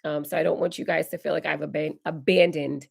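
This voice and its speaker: A woman in her forties, hoarse and raspy while recovering from illness, hosting a casual live Q&A and answering viewer comments. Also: sits low, steady tone, a little fast, a little hiss or hum. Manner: chatty, reads viewer comments aloud and answers warmly. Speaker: decor channel host. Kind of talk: live stream Q&A chat.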